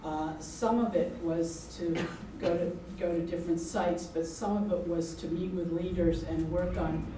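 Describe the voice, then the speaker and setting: A woman's voice: fairly low pitch, fairly even intonation, unhurried, hardly any pauses. A woman making an informal announcement to a gathering. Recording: echoey, noisy room, distant mic.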